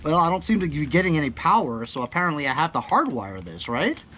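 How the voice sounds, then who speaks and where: A man's voice: on the high side, big pitch swings, fast talker. A man doing a casual, unscripted product unboxing, rambling and thinking aloud while often checking in with the viewer. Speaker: product reviewer. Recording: clear background ambient noise.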